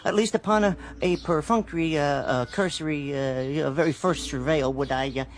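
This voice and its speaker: A man's voice: a little high, fairly quick, close to an everyday voice. An actor playing a timid, awkward American salesman in a radio drama, stammering, hesitant and rambling apologetically. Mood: nervous, apologetic.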